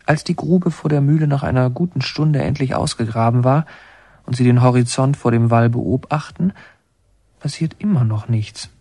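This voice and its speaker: An actor playing a reflective son who narrates a war story in a radio drama, measured and literary. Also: male voice, mid-range voice, mellow, dry recording, natural voice. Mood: observant.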